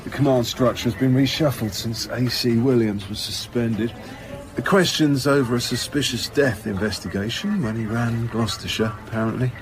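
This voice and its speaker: An actor in a radio drama playing a smug, cheerful senior police chief with a breezy, official manner. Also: male voice, mid-range voice, moderate pace, close to an everyday voice, low-quality recording.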